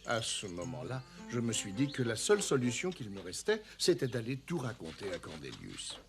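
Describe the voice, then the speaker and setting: A man's voice: fairly low pitch, huge pitch swings, normal speed, faint music behind. A man playing a grown elephant king who narrates his childhood in a French-dubbed cartoon, in a wistful storyteller manner. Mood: reflective.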